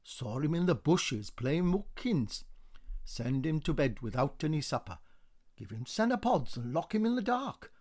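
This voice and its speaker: A man's voice: on the high side, very animated, unhurried, darkish tone. A man voicing scandalised, gossiping neighbour women in a scolding chant for a radio play. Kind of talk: radio play verse drama.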